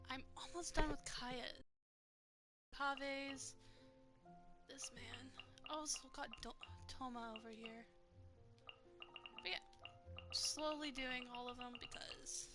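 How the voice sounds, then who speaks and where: A young woman with a soft voice, chatting casually and laughing as she streams a video game. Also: sits high. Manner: rambling, chatty, laughs often. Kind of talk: casual gameplay commentary. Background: game music.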